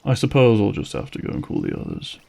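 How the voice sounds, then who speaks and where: A man's voice: lowish voice, wildly swooping pitch, vocal fry, dark tone, full character voice. A man playing a centuries-old vampire in an audio drama, weary, bitter and politely menacing, with a brooding, formal way of speaking. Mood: cold menace. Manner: slow, brooding, formal speech.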